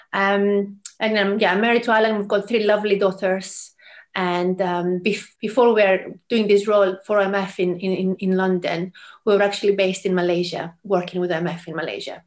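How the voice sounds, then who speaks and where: A middle-aged woman from Greece tells a story in an interview, at an ordinary pitch for a woman. She speaks in an animated way, with frequent fillers, restarts and repeated words. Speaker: mission representative, guest. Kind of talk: conversational storytelling.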